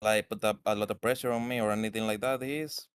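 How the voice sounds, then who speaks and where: Man, Spanish accent, native Spanish speaker, laid-back and slangy, smooth dry voice. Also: lowish voice, fairly even intonation, fast talker, no echo. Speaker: game developer. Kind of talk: casual interview chat.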